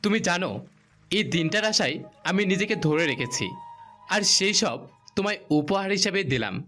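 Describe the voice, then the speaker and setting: A man's voice: sits high, animated, normal speed, pushed and bright. A man playing a pushy, coaxing newlywed husband in a romantic audio drama. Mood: coaxing, earnest. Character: domineering, insistent young newlywed husband. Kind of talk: romantic audio story dialogue. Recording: music behind the voice.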